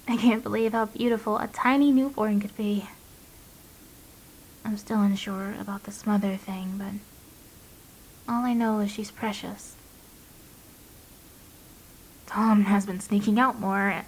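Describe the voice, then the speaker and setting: An actress playing an anxious, guarded young mother confiding haltingly and emotionally into an audio diary in a drama. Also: mid-range voice, a little hiss or hum, lightly acted, poor audio. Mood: quiet, worried.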